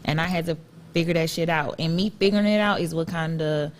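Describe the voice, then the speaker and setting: A woman's voice: low voice, fairly quick, a little hiss or hum. A young woman from Ohio co-hosting a podcast, talking candidly and casually, laughing and swearing freely. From Akron, Ohio. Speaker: podcast co-host. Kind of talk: casual chat.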